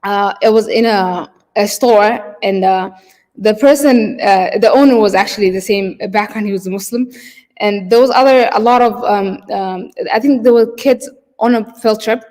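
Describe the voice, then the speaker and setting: A woman's voice: medium pitch, normal speed, a little mellow. Hesitant woman in echoing hall. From Somalia. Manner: hesitant, many fillers and restarts. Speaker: keynote storyteller. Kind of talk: personal storytelling. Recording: long hall reverb.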